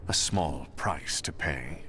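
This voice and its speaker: A man's voice: a bass voice, slow pace, noisy background. A man voicing an ambitious, ruthless usurper in a video game, theatrical, self-righteous and calculating. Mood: smug, ambitious. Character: ambitious, ruthless male usurper with royal blood.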